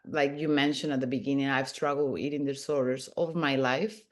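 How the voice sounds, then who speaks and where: A woman's voice: very deep voice, fairly even intonation, brisk. Earnest woman guest from Peru. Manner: earnest, with frequent restarts. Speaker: podcast guest. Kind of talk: casual interview.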